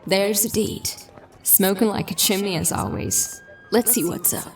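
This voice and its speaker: An actress playing a concerned, businesslike detective, speaking conversationally in an audio drama. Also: female voice, medium pitch, unhurried, vocal fry, a little mellow, recorded from a distance. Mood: uneasy, musing.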